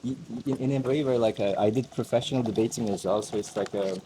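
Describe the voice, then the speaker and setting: A man's voice: medium pitch, fast, mellow, low-quality recording. A young man from Turkey, a native Turkish speaker, musing in a casual outdoor conversation, rambling with many fillers and restarts. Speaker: host. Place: outdoors in a busy mountain village. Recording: crowd noise behind.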